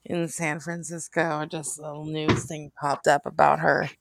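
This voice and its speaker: American lady podcasting, lowish voice, rambly and informal, real dry close sound. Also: little pitch movement, normal speed, creaky, dry recording. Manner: informal, swearing, rambling with self-interruptions. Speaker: podcast co-host. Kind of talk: casual, candid conversation.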